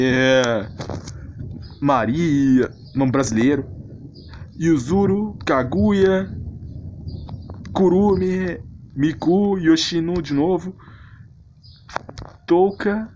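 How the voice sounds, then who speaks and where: Slangy Brazilian man ranting, pitch swinging widely. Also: darkish tone. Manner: slangy, rambling, mock-outraged. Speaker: video creator. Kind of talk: casual ranting commentary on anime characters. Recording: noisy home recording with clicks.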